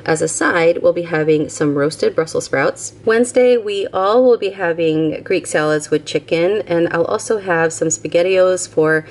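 A woman's voice: fairly low pitch, moderate pace, hardly any pauses. Chatty woman narrating a grocery haul. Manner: chatty, listing items one by one. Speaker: vlogger. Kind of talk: casual voice-over narration.

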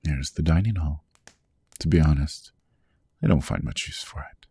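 A man playing a suave, possessive vampire lord in a hypnotic ASMR roleplay, hushed and often whispering, with courtly old-fashioned diction. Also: moderate pace, heavily acted. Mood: genteel, attentive. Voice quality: very deep, gravelly, husky.

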